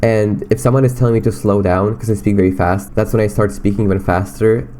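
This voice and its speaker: A man's voice: lowish voice, fairly even intonation, fast talker, warm tone, a little hiss or hum. A young man from Romania with a slight Romanian accent, rambling in a casual, self-deprecating way on his solo podcast. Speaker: podcast host.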